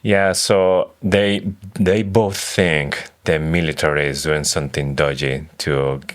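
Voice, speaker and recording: A man with an extremely deep voice shares his predictions in an easygoing read-along chat. He pauses and restarts often, feeling his way through each idea. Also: steady tone. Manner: tentative, halting phrasing as he lays out predictions. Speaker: podcast co-host. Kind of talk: casual book-club chat.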